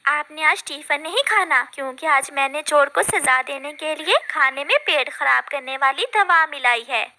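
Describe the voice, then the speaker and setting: A woman's voice: high voice, moderate pace, sharp tone, slight background noise, exaggerated voice. A woman voicing a determined mother bird in a cartoon. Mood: firm. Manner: firm, resolute.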